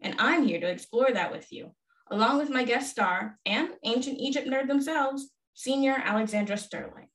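A woman's voice: fairly high pitch, moderate pace, a bit bright, distant mic. A young woman hosting a history podcast, reading her scripted lines with enthusiasm. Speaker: podcast host. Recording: echoey room, voice off-mic.

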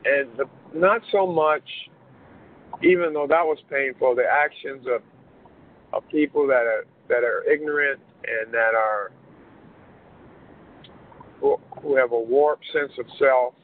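Earnest man on a phone line. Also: mid-range voice. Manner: measured and earnest, with many pauses. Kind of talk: interview conversation. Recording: muffled phone line, faint hiss.

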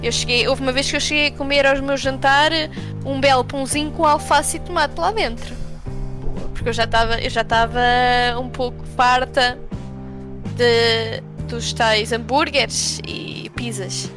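A woman's voice: sits high, steady tone, measured pace, over music. A young woman with a European Portuguese accent chatting informally and emphatically as an interview guest, telling anecdotes in slangy speech. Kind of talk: casual conversational anecdotes.

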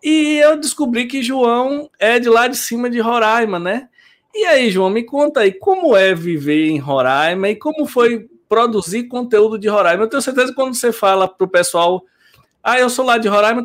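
High-pitched dude on a podcast, jokey, laughs a lot at himself. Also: male voice, expressive, normal speed, fairly bright tone. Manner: self-deprecating, laughs easily. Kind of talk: casual podcast chat.

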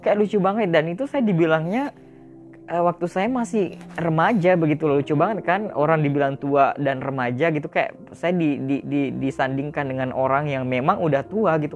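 A young man tells his own life story on an audio podcast in a loose, chatty, motivational way. He leans on fillers and drops English words into his talk at a middling pitch. Faint guitar music plays behind him. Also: highs cut off. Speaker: podcast host. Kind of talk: casual motivational storytelling monologue. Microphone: audio podcast recording.

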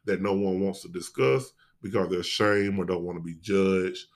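A man's voice: sits low, fairly even intonation, normal speed. An American man speaking casually and informally as an interview guest, repeating phrases for emphasis. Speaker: podcast guest, stage play actor.

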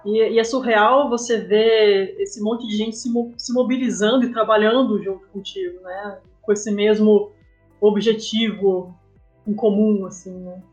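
A woman's voice: mid-range voice, fairly even intonation, unhurried, a little mellow, soft background music, rough recording. A woman with a Brazilian accent speaking casually as a guest in a podcast interview, often checking for agreement with tag questions. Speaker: guest, comic artist and game writer.

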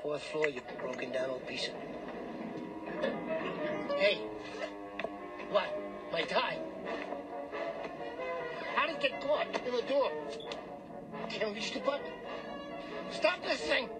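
A brash small-time hustler for radio drama. His voice sits high for a man, with streetwise, wise-guy phrasing that pushes and wheedles. Music plays clearly behind him. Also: animated, slow pace, long pauses, rough recording. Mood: agitated. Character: brash, fast-talking small-time hustler and gambler, male.

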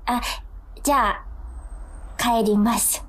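A woman's voice: on the high side, steady tone, slow. A woman playing an awkward, apologetic young woman, hesitant and earnest, in a naturalistic comic radio drama.

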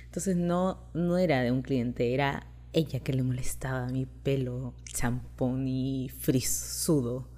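A woman's voice: a bass voice, steady tone, slow, hardly any pauses, warm tone, a little hiss or hum. A young woman, raised in Chile, hosting a podcast and telling personal stories in a chatty, confiding way, laughing often. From Peru and Chile, raised in Chile. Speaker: podcast host. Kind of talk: casual personal storytelling.

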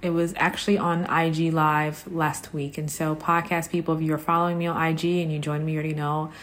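A woman hosting a podcast, talking in a conversational, personal way, reflective and pausing between short phrases. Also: deep voice, little pitch movement, fairly bright tone. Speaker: podcast host.

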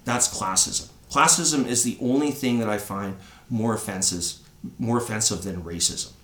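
A man's voice: fairly low pitch, normal speed, fairly bright tone, echoey room. A man in his thirties from Canada, chatting on a podcast, rambling and tagging on "right?" again and again. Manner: rambling, says "right?" often. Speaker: podcast co-host. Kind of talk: casual chat.

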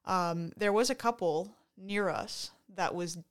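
A woman from Canada co-hosting a podcast, talking excitedly in casual chat and often interrupting herself. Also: mid-range voice, slow pace. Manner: excited, chatty, self-interrupting. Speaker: podcast co-host.

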